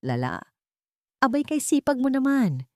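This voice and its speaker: A woman's voice: sits high, very animated, a little slow, warm tone, dry recording, studio quality, pitched above the performer's own voice. A woman voicing a nosy middle-aged neighbor and gossip who speaks in a prying, insinuating, sing-song way.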